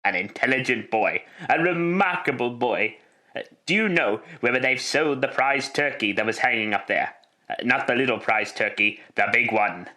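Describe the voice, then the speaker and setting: A man playing an overjoyed old miser turned merry in a classic radio drama, exclaiming and stammering with excitement. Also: mid-range voice, moderate pace. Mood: eager, delighted.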